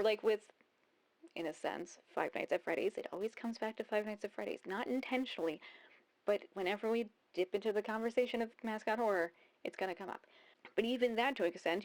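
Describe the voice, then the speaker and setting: A woman's voice: mid-range voice, low-quality recording. A woman in her thirties giving casual video essay commentary, rambling and thinking aloud as she repeats phrases. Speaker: YouTube host.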